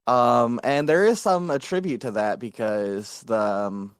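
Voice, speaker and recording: A man's voice: mid-range voice, unhurried, clean tone, no room sound, studio quality. A man, a VTuber guest, chatting casually in an interview with lots of fillers and restarts. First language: English. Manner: rambling, many fillers and restarts.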